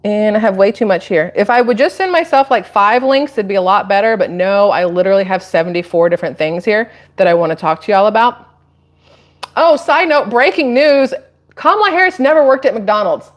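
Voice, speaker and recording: A woman's voice: mid-range voice, animated, fairly quick, muffled recording. An American woman hosts a casual live stream in an everyday mid-range voice. She chats straight to viewers about politics, wandering off and coming back with emphatic asides. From Atlanta, United States. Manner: chatty, rambling, emphatic asides.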